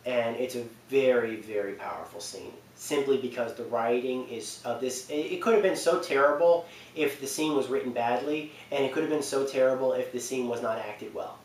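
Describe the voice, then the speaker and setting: A man's voice: mid-range voice, normal speed, hardly any pauses. A man giving casual, enthusiastic but hesitant gaming commentary, full of fillers and restarts. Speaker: gaming video creator. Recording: roomy distant mic with faint hiss.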